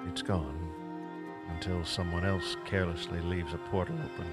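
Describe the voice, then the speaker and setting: Guy doing a deep quiet old-pro slayer, hushed, music under it. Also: flat delivery, normal speed, natural voice. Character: veteran male demon slayer, quiet and steady.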